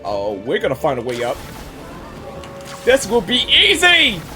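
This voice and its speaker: A woman doing casual, excitable game reaction commentary, slangy and playful. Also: medium pitch, measured pace, music in the background. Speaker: gaming reaction streamer.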